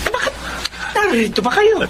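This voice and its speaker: Middle-aged mother, bossy, proud, lively swooping pitch, radio drama, noisy background. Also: normal speed. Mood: bustling, fond. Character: proud, brusque mother of a grown son. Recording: noisy ambience behind the voice.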